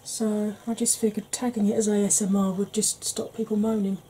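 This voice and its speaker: A woman in her forties with a Welsh-tinged northern English accent, talking slowly and softly with wry humour while doing her makeup. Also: medium pitch, little pitch movement, a little hiss or hum, low-quality recording. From Wales and Yorkshire. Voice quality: soft-spoken, slow, wry. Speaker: makeup vlogger. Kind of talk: soft-spoken makeup tutorial chat.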